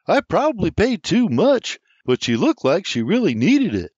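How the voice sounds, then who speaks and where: A man's voice: high voice, wildly swooping pitch, moderate pace, a little mellow, breathy edge, no room sound, voice pushed up, exaggerated voice. A man voicing an earnest, kind young farm lad. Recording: slightly muffled dry recording.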